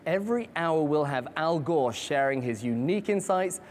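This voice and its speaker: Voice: mid-range voice, expressive, moderate pace, darkish tone, slight background noise. Upbeat scripted broadcast host. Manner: upbeat promotional read. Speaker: program host.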